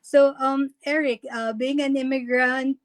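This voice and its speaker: Woman host, fairly high voice, unhurried, halting with fillers. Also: steady tone. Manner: warm welcoming, halting with fillers, nervous at first. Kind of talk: live online interview.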